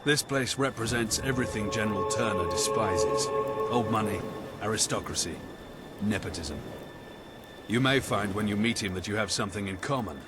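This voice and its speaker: An actor playing a gruff veteran officer in a video game, brisk, dry and commanding. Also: male voice, on the low side, close to an everyday voice. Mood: wry, observant. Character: gruff male veteran soldier officer, businesslike and wry. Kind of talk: video game cutscene acting. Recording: loud music behind the voice. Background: loud game soundtrack music.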